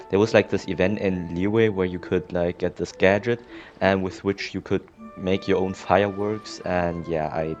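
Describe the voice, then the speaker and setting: A man giving casual, rambling and halting commentary as he plays through a video game. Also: low-pitched, a little mellow. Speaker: gamer commenting on a playthrough. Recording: dull lo-fi mic, faint game music. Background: game music.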